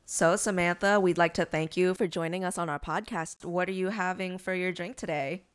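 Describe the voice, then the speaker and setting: Clear, low-pitched woman co-hosting. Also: fairly even intonation, moderate pace. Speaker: podcast co-host. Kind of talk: casual podcast chat.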